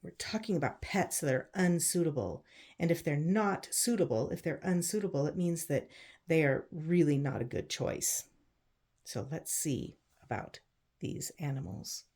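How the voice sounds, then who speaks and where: A woman reads a storybook aloud for children in a fairly low-pitched voice. She breaks from the text for chatty asides, explains things in simple words and asks her listeners what they think. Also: lively intonation, normal speed, darkish tone, breathy edge. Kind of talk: picture book read-aloud with chatty asides.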